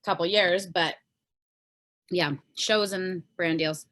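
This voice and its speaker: A woman's voice: fairly low pitch, slow pace, no room sound, studio quality. A woman chatting casually and informally as a podcast guest, telling things with lots of "like". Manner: informal, peppered with "like", animated retelling. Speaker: podcast guest, solo pop artist and content creator. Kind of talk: casual interview chat.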